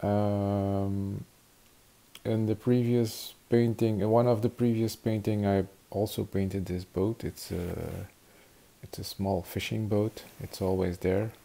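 A man in his forties from Flanders with a Flemish Dutch accent, teaching watercolor in halting English full of "uh" fillers, speaking into a webcam in an attic studio. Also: fairly low pitch, fairly even intonation, vocal fry, mellow. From Belgium (Flanders). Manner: halting, many "uh" fillers, searching for words. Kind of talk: hesitant tutorial narration. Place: attic studio with wooden beams.

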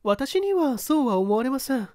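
Mystery audio story: uneasy widow played by a woman, highish voice, polite formal testimony, dry sound. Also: very animated, darkish tone, no room sound. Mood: puzzled, earnest. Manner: polite, measured, formal answers. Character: uneasy widow testifying before an examining judge. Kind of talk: dramatised mystery story reading.

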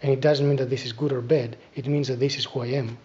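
A middle-aged man with a Greek accent giving a spiritual talk as an Orthodox abbot, deliberate and instructive, pausing between phrases. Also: mid-range voice, steady tone, a little mellow, highs cut off. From Greece. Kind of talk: spiritual talk, reflective preaching. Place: small church, indoors at a lectern.

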